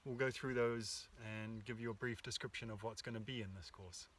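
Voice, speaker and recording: A man co-hosting a workshop, talking in a calm, reflective and hesitant way, recorded outdoors in the countryside. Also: mid-range voice, brisk, recorded from a distance. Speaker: workshop co-host. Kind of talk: casual spiritual talk. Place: outdoors in the countryside under an oak tree.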